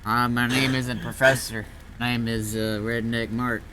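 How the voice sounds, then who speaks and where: A man speaks in a bright, forceful voice at an average pitch, chatting casually in character over game voice chat. Faint noise sits behind his words. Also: little pitch movement, measured pace. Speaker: roleplay gamer. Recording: noisy game voice chat, background hum.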